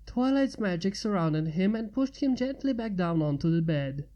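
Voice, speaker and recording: A woman's voice: mid-range voice, expressive, normal speed, dark tone, lo-fi sound. A woman narrating a scripted piece of fan fiction as its reader.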